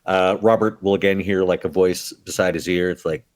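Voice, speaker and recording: A man's voice: low voice, fairly even intonation, moderate pace. A man casually describing his character's moves as a player in a tabletop roleplaying game.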